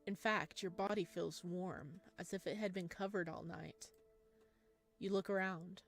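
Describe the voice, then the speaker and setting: A woman's voice: on the low side, fairly even intonation, measured pace. Woman reading a game aloud over music. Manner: giggly, informal asides between read narration. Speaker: gamer playing and reading a visual novel aloud. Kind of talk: game playthrough, reading aloud with chatty reactions. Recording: music behind the voice.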